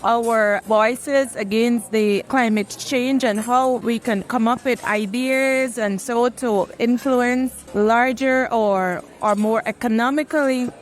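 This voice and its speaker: A woman from Ghana speaks earnestly and fluently, her voice set fairly high. Faint music plays underneath. She talks as a human resources practitioner in a short recorded soundbite. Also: steady tone, slow, hardly any pauses.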